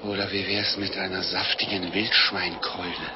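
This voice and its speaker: Actor as a jolly gluttonous monk, low-voiced. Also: male voice, normal speed, noisy background, close to an everyday voice. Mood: hungry, musing. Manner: unctuous, food-loving, excitable. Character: fat, jolly, gluttonous little mendicant monk. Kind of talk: 1950s German radio play acting. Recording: dull vintage radio recording with music.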